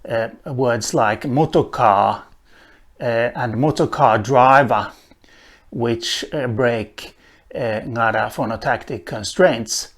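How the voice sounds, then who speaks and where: Slow, thoughtful man with Swedish roots. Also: mid-range voice, creaky. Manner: slow and thoughtful, with many "uh" pauses. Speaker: language teacher. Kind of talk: unhurried spoken lesson on a language.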